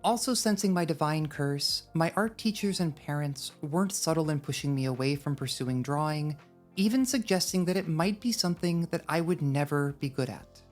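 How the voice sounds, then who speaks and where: A woman's voice: sits low, moderate pace, a little mellow, faint music behind. A woman narrating a scripted video essay in a wry, drily humorous manner. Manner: wry, dry humour.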